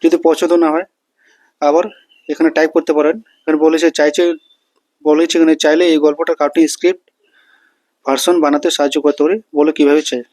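A man's voice: fairly high pitch, level tone, fairly quick, long pauses, a little mellow, no echo. A man hosting a casual step-by-step tutorial, talking the viewer through it. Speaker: tutorial host.

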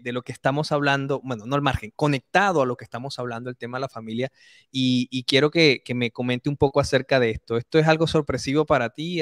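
A man's voice: mid-range voice, dry recording. Dry-voiced male live interviewer. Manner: affirming, hesitant phrasing. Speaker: interview host.

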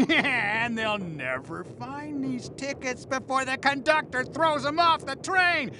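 An actor voicing a sneering, child-hating marionette puppet in a video game, scornful and gloating in a drawn-out theatrical way, recorded in noisy game audio under music. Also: high-pitched, lively intonation, bright, forceful tone, exaggerated voice. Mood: sly gloating. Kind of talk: theatrical villain voice acting.

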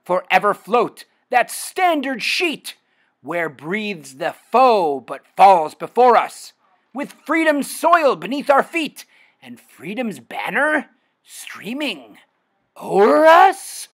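Husky theatrical man reciting poetry slowly. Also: high voice, big pitch swings, a bit bright. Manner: theatrical, declamatory. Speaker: poetry reader. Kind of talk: dramatic poem recitation.

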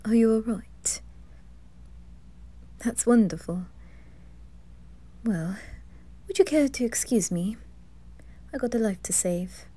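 A woman voicing a composed, devoted male demon butler, soft and formally courteous, in an ASMR roleplay. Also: on the high side, expressive, slow pace, pauses often. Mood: calm courtesy. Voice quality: soft, whispery.

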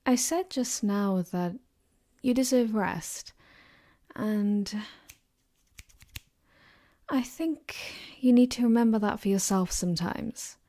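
A young woman playing a caring, gently teasing girlfriend in an intimate ASMR roleplay, with a soft, breathy, reassuring delivery close to the mic. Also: mid-range voice, slow, pauses often, natural voice. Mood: tender, reassuring. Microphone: close binaural microphone.

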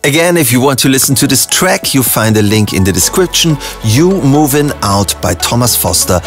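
A young man with an Austrian German accent, a music producer calmly walking through a software tutorial step by step with frequent ums. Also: mid-range voice, normal speed. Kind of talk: screen-recorded software tutorial.

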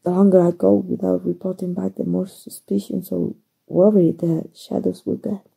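A woman acting in an ASMR roleplay as a flustered, soft-hearted young female hero, stammering hesitantly in a soft, husky voice with a Mexican accent. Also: fairly low pitch, little pitch movement, moderate pace, full character voice, low-quality recording. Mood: hesitant, earnest. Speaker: voice actor.

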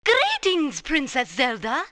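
A woman's voice: sits high, huge pitch swings, takes it slowly, bright, forceful tone, no echo, clean studio sound, full character voice. A woman voicing a kindly old wizard helper in a cartoon game. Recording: very noisy old game audio.

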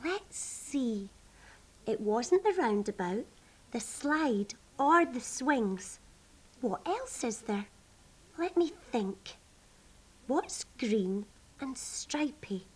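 A woman's voice: high voice, big pitch swings, unhurried, a bit bright, exaggerated voice. A woman voicing a curious, thoughtful female storybook character who puzzles aloud with emphatic wonder for young children. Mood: pondering.

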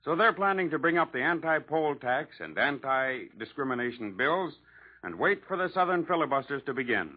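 A man's voice: a little high, animated, moderate pace, breathy edge. Wry American newsman on tinny vintage radio. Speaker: radio news correspondent. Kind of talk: 1940s radio political news report. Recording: tinny narrowband 1940s radio recording.

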